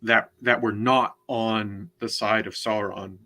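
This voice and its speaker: A man with a fairly low voice joins a casual book discussion as co-host. He speaks very slowly and deliberately, pausing to search for his words. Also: fairly even intonation, sharp tone.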